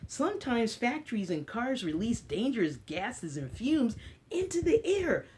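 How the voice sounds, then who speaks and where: A woman's voice: a little high, big pitch swings, unhurried, a little mellow, a little hiss or hum. An American woman hosting a children's story time, speaking in a teacherly way with simple short phrases and questions to her listeners. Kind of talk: story time talk and read-aloud for children.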